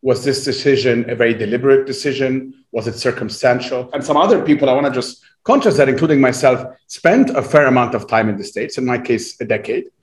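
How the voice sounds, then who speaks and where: A man's voice: medium pitch, a little fast, few pauses. Echoey, reflective male interviewer. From Egypt. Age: 40s. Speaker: interview host. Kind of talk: conversational long-form interview. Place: remote video call, echoey room. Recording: echoey room over video call.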